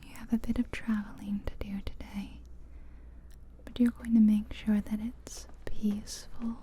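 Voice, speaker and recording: A woman softly narrating an ASMR guided visualization in a breathy, whispery, soothing voice, close to the microphone, with gentle pauses. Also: mid-range voice, little pitch movement. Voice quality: breathy, whispery soft-spoken voice. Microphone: close ear-to-ear ASMR microphone.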